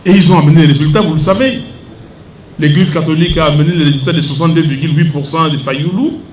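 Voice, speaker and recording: Indignant older man, high-pitched. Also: a little mellow, slight background noise. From Democratic Republic of the Congo. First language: Lingala. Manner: indignant, emphatic, switches between French and Lingala. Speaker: opposition politician at press conference. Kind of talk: heated press conference answer.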